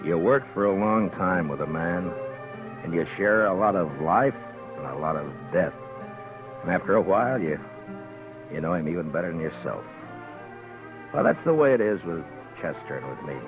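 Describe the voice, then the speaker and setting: An actor playing a calm, reflective frontier marshal who narrates in a slow, laconic, measured way, in a 1950s radio drama. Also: male voice, low voice. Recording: dull old radio recording with music under narration.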